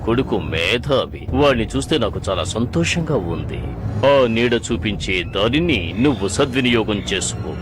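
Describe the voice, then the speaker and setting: A man's voice: mid-range voice, lively intonation, normal speed, over loud music, close to an everyday voice. A man playing a proud, demanding middle-aged father in a dubbed cartoon, with an imperious manner. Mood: proud, gloating.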